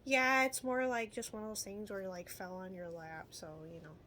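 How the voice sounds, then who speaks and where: A woman's voice: medium pitch, animated, moderate pace, sharp tone. A woman from Illinois with a Midwestern American accent, talking in a casual, rambling vlog style with frequent trailing asides, recorded with some noise. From Illinois, United States.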